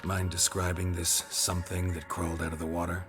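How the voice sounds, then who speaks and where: An actor playing a stoic monster-hunting investigator in a video game, speaking in terse, dry, deadpan questions. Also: male voice, sits low, fairly quick, long reverb tail, music in the background, natural voice. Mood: probing. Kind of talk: video game cutscene dialogue.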